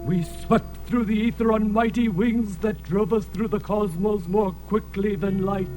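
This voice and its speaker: Slow, high-pitched ancient alien, sorrowful. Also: male voice, steady tone, low-quality recording. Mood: mournful. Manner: archaic, grandiose, lamenting speech. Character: ancient winged alien being, sorrowful, tormented, solemn. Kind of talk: dramatized fantasy audio drama. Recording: music laid under the voice.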